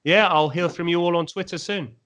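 Bright-voiced joking male commentator. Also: high-pitched, brisk, clean tone. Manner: jokey, self-deprecating. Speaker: football commentator guest. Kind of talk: casual podcast chat.

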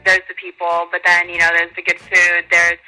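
A woman's voice: on the low side, completely flat delivery, normal speed, bright, forceful tone, soft background music, rough recording. A young woman DJ answering interview questions in a chatty, upbeat way with lots of fillers. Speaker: guest DJ.

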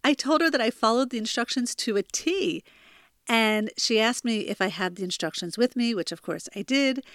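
A woman's voice: fairly high pitch, moderate pace, no echo, clean studio sound. An American woman in her forties from New Jersey, hosting a self-help podcast in an earnest, confiding, chatty way from a bedroom. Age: 40s. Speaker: podcast host. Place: childhood bedroom.

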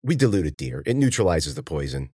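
A man's voice: very deep voice, sing-song, fairly quick, warm tone, breathy edge, no room sound, studio quality, pitched below the performer's own voice, exaggerated voice. A man voicing a sly elderly woman herbalist in a storybook, coaxing people with patronizing endearments. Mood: sly, pleased.

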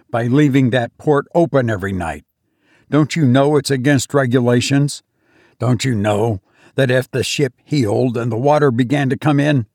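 A man voicing an irate gentleman passenger, scolding and blustering at a servant. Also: mid-range voice, no room sound, studio quality.

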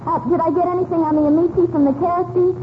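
A woman's voice: very high-pitched, flat delivery, rapid-fire. An actress playing a flighty, gushing young woman full of breezy slang in a 1940s radio comedy. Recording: tinny, hissy 1940s radio recording.

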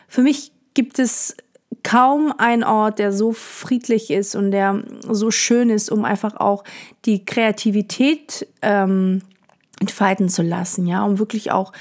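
A young woman from Portugal hosting a podcast, talking in a reflective, gentle way with many fillers. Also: medium pitch. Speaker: podcast host. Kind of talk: casual personal monologue.

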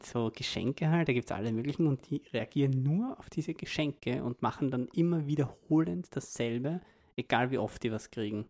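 Brief: Viennese man, podcast co-host, gravelly low voice with fry, rambling anecdotes. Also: moderate pace, darkish tone. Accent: Austrian, Viennese. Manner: rambling storyteller, laughs mid-sentence. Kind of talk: casual chat.

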